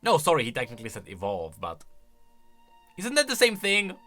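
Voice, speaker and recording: A man giving casual reaction commentary on video game lore, expressive and emphatic as he thinks aloud. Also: fairly high pitch, moderate pace. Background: music.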